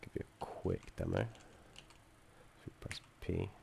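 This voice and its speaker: Croaky young man walking through code. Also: low-pitched, fairly even intonation, takes it slowly, noisy background. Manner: hesitant, many ums and restarts, dry asides. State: croaky from feeling unwell. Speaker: student presenting his game project. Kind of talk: screen-recorded code walkthrough.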